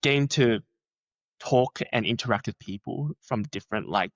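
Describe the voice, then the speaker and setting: A young man who is a researcher, talking in a chatty, animated way and filling his sentences with "like.". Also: normal speed, no room sound, lo-fi sound. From Australia. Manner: chatty, many "like" fillers, lively swings in pitch. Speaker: researcher, podcast guest. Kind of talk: casual conversational interview.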